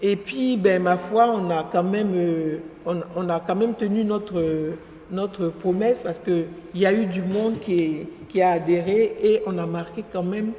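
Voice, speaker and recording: A middle-aged woman from Côte d'Ivoire answers questions on stage, thoughtful and unhurried, often pausing to find her words. Her voice rings through a large hall with a long, roomy echo. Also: on the low side, mellow. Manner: reflective, pauses and searches for words. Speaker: association president, guest. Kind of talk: event interview, telling her story. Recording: echoey hall.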